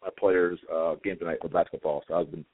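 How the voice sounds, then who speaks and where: Hoarse deep-voiced man on phone line. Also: fairly even intonation. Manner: halting, many fillers. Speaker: prep school guest. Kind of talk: phone interview. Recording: dry, tinny phone line.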